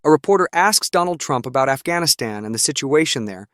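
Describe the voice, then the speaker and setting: A man narrating news clips in a brisk, critical voice-over. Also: medium pitch, expressive, a bit bright, dry recording, clean studio sound. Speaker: news commentator.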